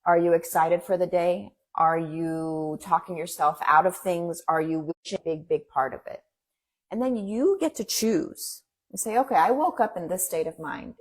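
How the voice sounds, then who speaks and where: Husky-voiced woman, earnest guest. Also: on the low side. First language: Farsi. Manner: earnest, encouraging, speaks in quick bursts. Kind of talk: casual interview talk.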